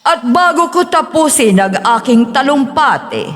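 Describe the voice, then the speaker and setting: A woman's voice: high voice, lively intonation, fairly bright tone. Woman acting haughty older matriarch villain in reverb. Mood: grandly gracious. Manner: imperious, measured, formal. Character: rich, haughty, scheming 60-year-old matriarch and villain. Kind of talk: dramatized audio story acting. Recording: long hall reverb.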